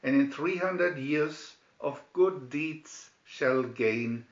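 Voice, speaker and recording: A solemn spirit of the air from a fairy tale, voiced by a man. It proclaims its blessing slowly and grandly. The recording is echoey and a little dull. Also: a little high. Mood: solemn, proclaiming. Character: airy spirit solemnly granting a blessing, storybook. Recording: echoey room, dull lo-fi sound.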